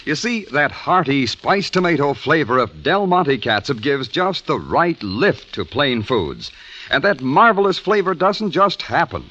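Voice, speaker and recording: A man's voice: fairly high pitch, very animated, a little slow, sharp tone. A man announcing a 1940s radio commercial in an enthusiastic, selling manner. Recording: dull old radio recording.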